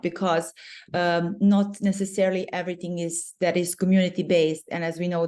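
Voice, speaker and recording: Low-voiced woman with a Serbian accent. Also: a little mellow. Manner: unhurried, often pausing mid-sentence to find words. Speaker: webinar presenter. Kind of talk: online webinar presentation.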